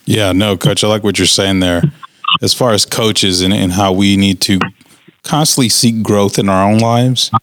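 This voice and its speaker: A man's voice: fairly low pitch, fairly even intonation, moderate pace, fairly bright tone. A man hosting an interview with coaches, talking casually and energetically with many "uh" fillers. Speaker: podcast host interviewing coaches.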